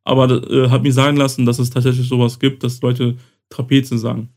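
Unhurried man explaining with filler pauses. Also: medium pitch, little pitch movement, a little mellow. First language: Romani. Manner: explains slowly with many fillers. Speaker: host. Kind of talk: casual podcast-style explainer.

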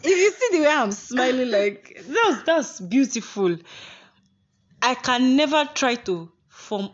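A woman's voice: high-pitched, sing-song, slow, highs cut off. Playful Nigerian woman podcast host. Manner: playful, laughs often, teasing. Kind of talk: casual chat.

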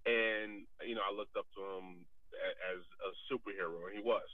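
A man's voice: lowish voice, fairly even intonation, moderate pace, no echo. A man from New Jersey, a sports league co-CEO, earnestly telling his life story over the phone, with many filler phrases. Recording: tinny phone line.

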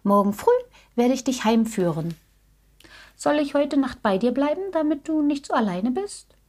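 Small male rabbit for a storybook, female performer: friendly, caring, high and delicate. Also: lively intonation, normal speed. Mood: compassionate, reassuring. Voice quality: delicate, soft little voice. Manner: kind, reassuring.

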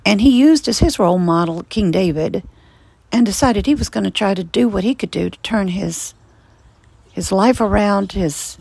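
A woman hosting her faith podcast, her voice hoarse and raspy with a gravelly fry. She talks softly and earnestly, reflecting as she goes. The sound is close and dry. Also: medium pitch, dry recording, low-quality recording. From Virginia, USA. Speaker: podcast host and ministry leader. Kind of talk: casual devotional podcast talk. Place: screened-in porch outdoors.

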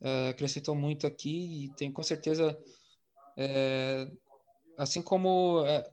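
A man hosting a casual podcast chat about TV series, speaking Brazilian Portuguese in a relaxed, slangy way full of fillers. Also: a little high, measured pace. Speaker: podcast host.

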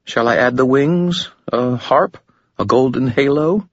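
A poised female demon speaks with formal courtesy and a quiet, amused ease, as a man lifts his voice to play her. The tone sits well above a man's usual range but stays husky. It comes from a dry, slightly dull audiobook recording. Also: mid-range voice, measured pace, dry recording, voice pushed up. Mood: gently amused. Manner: gentle, formal, softly amused.

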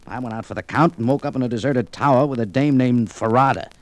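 An actor playing a tough, wry American cafe owner in a radio adventure, with clipped, sardonic, hard-boiled delivery. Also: male voice, medium pitch, fast, no room sound, close to an everyday voice. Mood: insistent. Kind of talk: 1940s radio noir acting with first-person narration. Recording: noisy old radio recording with clicks.

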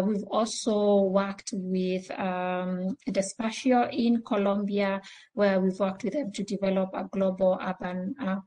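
Woman, East African accent and native language, medium pitch, nonprofit panelist explaining her work in a discussion. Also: darkish tone. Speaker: panelist, transport nonprofit leader.

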